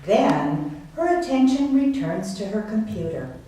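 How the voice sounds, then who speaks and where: A woman's voice: medium pitch, very animated, unhurried, warm tone. A woman narrator reading scripted dramatic narration for a readers theater piece. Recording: very echoey room, distant mic, faint noise.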